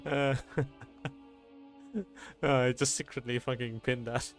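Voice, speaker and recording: A man's voice: medium pitch, level tone, takes it slowly. Casual male game streamer, bantering. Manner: bantering, swears freely. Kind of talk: casual live-stream commentary. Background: game music.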